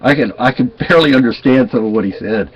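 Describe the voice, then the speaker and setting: A man's voice: medium pitch, fast talker. A Texan man with a Southern accent rambles through folksy stories and keeps restarting his sentences. His voice sits in a middle register. The home recording sounds dull and lo-fi. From Texas. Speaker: vlogger. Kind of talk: casual storytelling chat.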